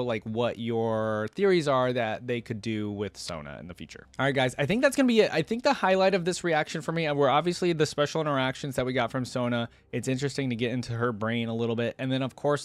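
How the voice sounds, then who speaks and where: A young man talks to his viewers in a casual, chatty way, wandering through his thoughts as he goes. His voice sits at an average male pitch. It is recorded close on a desk microphone, very dry with no room sound. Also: dry recording. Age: young adult. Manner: informal, rambling, chatty. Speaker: YouTube reaction host. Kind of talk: casual reaction commentary to camera. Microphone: close desktop microphone, dry.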